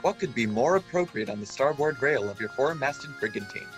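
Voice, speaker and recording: A man playing an earnest storyteller who recalls a boyhood adventure, narrating a radio play in a measured, literary way. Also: medium pitch, lively intonation, over music, close to an everyday voice. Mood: introductory, theatrical.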